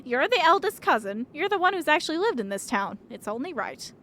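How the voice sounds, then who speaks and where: A woman voicing a composed, brisk mother in a video game, measured and persuasive. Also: sits high, very animated, fairly bright tone, a little hiss or hum, voice pushed up. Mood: pressuring.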